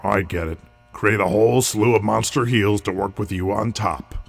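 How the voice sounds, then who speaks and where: A man playing a miserable, stubborn old wrestling veteran in an audio drama, gravelly and curt, with a cynical grumble. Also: lowish voice, moderate pace. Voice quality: gravelly, raspy.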